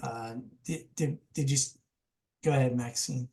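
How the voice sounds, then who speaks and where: A man's voice: medium pitch, normal speed, dark tone. A man from Connecticut hosting a casual video call discussion, enthusiastic and often repeating his phrases. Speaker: host.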